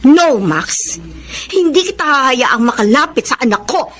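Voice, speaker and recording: A woman's voice: high voice, big pitch swings, measured pace, fairly bright tone, exaggerated voice. An actress playing a bitter, defiant mother in a Filipino radio drama, confrontational and scornful in her delivery. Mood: scornful, firm.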